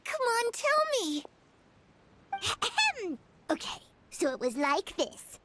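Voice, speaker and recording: A woman's voice: very high-pitched, wildly swooping pitch, slow pace, background noise, full character voice. A woman voicing a small, childlike, chatty fairy companion in an anime-style video game, with music behind her. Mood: eager, playful. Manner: speaks of self in third person.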